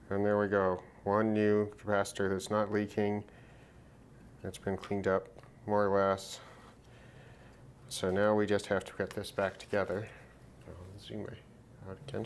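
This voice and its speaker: A man casually narrating a hands-on repair demonstration, thinking aloud in an unhurried way as he works. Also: fairly low pitch, a little hiss or hum. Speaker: repair video host.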